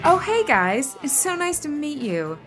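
A woman's voice: high voice, sing-song, a little slow. A woman playing a bubbly, effusive young girlfriend in a comedy radio play, chatty and gushing. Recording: bar crowd and music behind. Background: busy bar with music.

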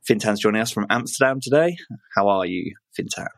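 Low-voiced man hosting a podcast. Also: expressive, fairly quick, creaky, dry recording. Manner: upbeat, with fillers. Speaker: podcast host.

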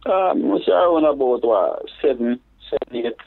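A man from England with a Jamaican patois accent mixed with London speech, a reggae DJ chatting as an interview guest in a relaxed, halting way. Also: mid-range voice, gravelly. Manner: relaxed, halting, restarts phrases. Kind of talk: casual interview chat. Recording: phone-like narrow sound.

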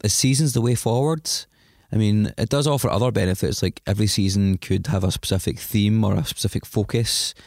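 A man's voice: on the low side, fairly even intonation, brisk, vocal fry, a little mellow, no room sound, studio quality. Sniffly Scottish man hosting a podcast. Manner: chatty, self-deprecating, rambling. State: has a cold, sniffly. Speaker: podcast host. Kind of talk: solo podcast intro monologue.

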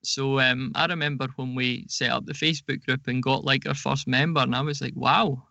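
A man with a bright, forward voice talks as an interview guest on a podcast. He explains in a conversational way, doubling back on his words. The sound is very dry and a little dull. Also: mid-range voice, steady tone, normal speed, creaky, no echo.

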